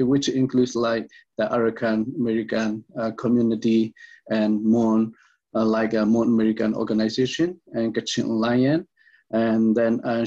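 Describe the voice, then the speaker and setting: A man's voice: mid-range voice, darkish tone. Hesitant man from Burma on a call. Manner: hesitant, many fillers and restarts. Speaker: panelist, community organization secretary. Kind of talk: self-introduction on a video panel. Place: indoor room on a video call. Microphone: video call. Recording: muffled video call audio.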